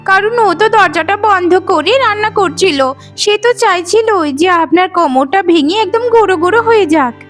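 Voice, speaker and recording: A woman's voice: very high-pitched, fairly quick, faint music behind. A woman voicing a conniving, meddling young sister with an exclamatory, accusing manner in a melodramatic cartoon. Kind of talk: melodramatic cartoon moral-story voice acting.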